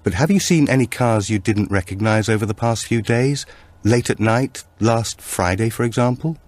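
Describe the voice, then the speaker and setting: A man's voice: medium pitch, normal speed, a little mellow, dry recording, pitched above the performer's own voice, natural voice. A man voicing a polite, businesslike policewoman asking questions in an audiobook. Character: brisk, polite policewoman making enquiries.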